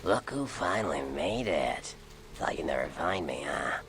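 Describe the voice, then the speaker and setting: An actor playing a sly, sardonic vampire informant in a video game, with a gravelly, whispery voice and a mocking drawl. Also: male voice, rumbling low, lively intonation, measured pace, fairly bright tone, slight background noise, heavily acted. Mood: smug.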